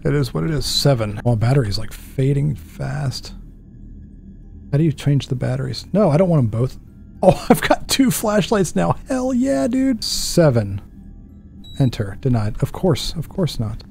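A man's voice: mid-range voice, sing-song, a little slow, vocal fry, darkish tone, faint music behind. A man in his thirties on a headset, casually narrating a puzzle game while muttering to himself, puzzled and self-deprecating. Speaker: gaming streamer. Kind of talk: casual let's-play commentary.

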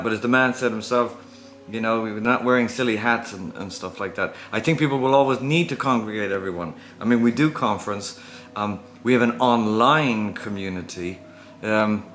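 Middle-aged man, medium pitch, casual home vlogger, musing and halting in delivery. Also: fairly bright tone. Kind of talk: casual chat to camera. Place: small room at home.

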